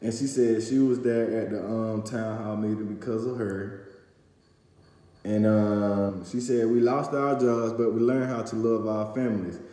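A man's voice: on the low side, steady tone, unhurried, mellow. Young man reading news in echoey room. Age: young adult. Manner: reads aloud with stumbles and fillers. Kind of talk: reading and summarizing news to camera. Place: small indoor room at home. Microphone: phone camera at arm's length. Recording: echoey room with long reverb.

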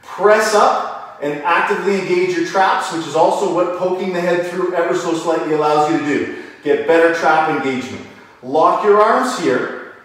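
A man's voice: high-pitched, normal speed, a bit bright. A man in his thirties giving informal, step-by-step exercise instruction as a fitness coach, demonstrating as he talks. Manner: informal, step-by-step explaining while lifting a kettlebell. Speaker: fitness coach and video host. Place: indoor home gym with hard walls. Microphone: camera several meters away. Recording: very echoey room, distant mic.

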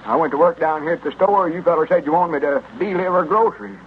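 An actor playing a simple, put-upon young store helper with a rural Southern accent, folksy and plaintive, in old-time radio comedy. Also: male voice, a little high, quick pace, close to an everyday voice. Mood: complaining. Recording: tinny, noisy old radio recording.

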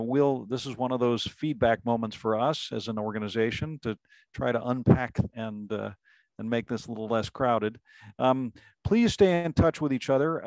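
A middle-aged man moderates a video-call panel through a headset mic, his voice dry and close with no room sound. He speaks at an average pitch and often restarts his phrases. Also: dry recording, low-quality recording. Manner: warm-mannered, restarts, many fillers. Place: home room, indoors.

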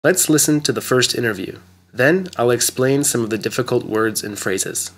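Male English teacher, clear careful narration, mid pitch. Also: normal speed, slight background noise. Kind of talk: slow scripted lesson narration.

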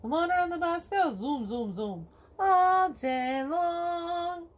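A middle-aged woman reading a children's picture book aloud to kids at home, slowly singing the verses in a sing-song way. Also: extremely high pitch, expressive, slight background noise. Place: home living room, indoors.

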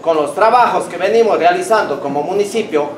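Male councillor, Ecuadorian accent, high pitch, formal proposal, somewhat off-mic. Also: brisk. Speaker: town councillor. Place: meeting hall, indoors. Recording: distant, echoey, noisy room.

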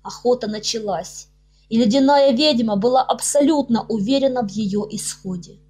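A woman's voice: on the high side, normal speed, warm tone, breathy edge. A woman reading her own fairy-tale audiobook as a scripted narration. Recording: music under the voice. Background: background music.

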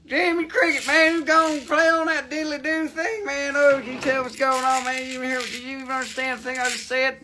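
A man voicing a loud, excitable country hick, drawling and whooping as he runs a live sale. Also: very high voice, normal speed, hardly any pauses, a crowd in the background, voice pushed up. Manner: hollering, drawling, goofy. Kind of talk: live-stream sales banter.

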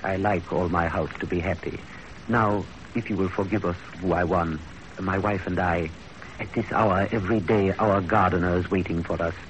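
An actor playing a stern, courteous old Japanese father in a 1930s radio drama, speaking in measured, formal phrases. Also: sits low, fairly even intonation, a little hiss or hum, lightly acted, low-quality recording. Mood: formal, kindly.

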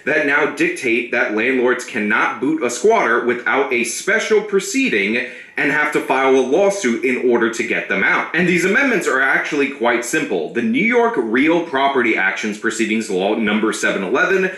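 A man delivers scripted political commentary with a sharp, scornful edge. His voice is bright and lively in pitch, and the sound carries a roomy echo. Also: normal speed. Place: small room with a brick wall. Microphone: studio mic on a stand.

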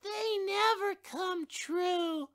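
A woman voicing a high-voiced young child in a storybook, crying out shrilly. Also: very slow, fairly bright tone, exaggerated voice.

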